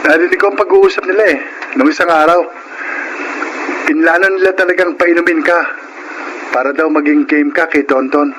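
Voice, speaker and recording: Man acting earnest young suitor, protective, lightly high voice, radio drama, noisy ambience. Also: steady tone, normal speed, long pauses, natural voice. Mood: concerned.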